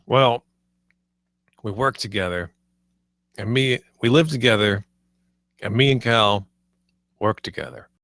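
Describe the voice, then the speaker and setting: A man's voice: on the low side, lively intonation, measured pace, long pauses, a bit bright, no room sound, clean studio sound. A man playing a casual, slangy, self-assured slacker bro in a comedy table read. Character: cocky, laid-back slacker bro pool cleaner.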